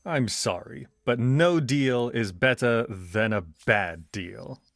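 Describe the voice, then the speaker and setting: Slow, deliberate game negotiator voiced by a man. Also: medium pitch, gravelly, a bit bright, no echo. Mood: politely dismissive. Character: reserved, shrewd male negotiator leader in a strategy game. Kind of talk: scripted game character lines.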